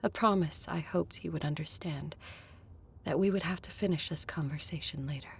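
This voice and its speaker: A woman playing a solemn, determined, centuries-old vampire leader in an audio drama, measured and earnest. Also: deep voice, vocal fry, husky, heavily acted. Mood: hushed, reflective. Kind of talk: dramatic acting with first-person narration.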